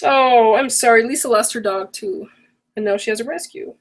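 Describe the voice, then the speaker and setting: Woman, middle-aged, craft livestream host, rambling casual chat, slightly high voice, cold coming on. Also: moderate pace. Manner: chatty, rambling, self-correcting. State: voice getting worn from a cold. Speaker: online workshop host. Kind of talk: casual live-stream chat.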